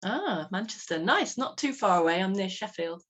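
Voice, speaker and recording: A woman's voice: medium pitch, animated, normal speed, a bit bright. Chatty Englishwoman hosting an online call. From England. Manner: friendly, chatty, laughs often. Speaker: webinar host. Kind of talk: online meeting moderation. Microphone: video call.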